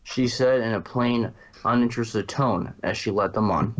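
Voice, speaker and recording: A man's voice: fairly low pitch, normal speed, muffled recording. A man narrating a fan fiction story as a reader.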